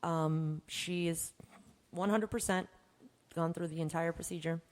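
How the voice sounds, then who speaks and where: A woman's voice: lowish voice, fairly even intonation, a little slow, a little hiss or hum. A woman co-hosting a podcast, talking in a frank, breezy and informal conversational way. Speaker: podcast co-host. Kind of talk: casual conversational podcast chat. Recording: echoey hall-like reverb.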